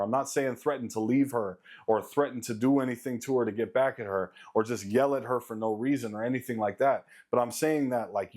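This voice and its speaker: Blunt, profane man with deep voice. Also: darkish tone. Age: late 30s. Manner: blunt, profane, emphatic repetition. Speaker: vlogger. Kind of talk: casual motivational rant to camera.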